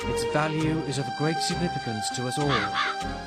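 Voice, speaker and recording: A man's voice: fairly high pitch, normal speed, loud music behind the voice, close to an everyday voice. A man playing a grave, imperious elf noble in a video game, formal and commanding. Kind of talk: video game cutscene acting.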